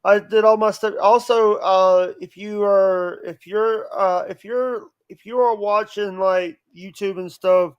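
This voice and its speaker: Male podcast guest, high and smooth voice, casual, stumbling delivery with repeated words, close dry sound. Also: fairly even intonation, highs cut off. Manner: halting, repeats words and phrases. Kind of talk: casual chat.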